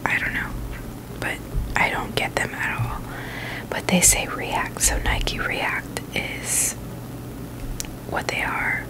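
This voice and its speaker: A woman hosts an ASMR review in a steady whisper. She speaks very slowly and informally, with a halting, hesitant delivery. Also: poor audio. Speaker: video host reviewing sneakers.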